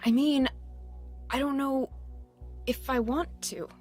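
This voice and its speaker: An actress playing an intense teenage girl with secret powers in an audio drama, in a breathy voice. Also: sits high, steady tone, takes it slowly, soft background music, full character voice. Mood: defensive.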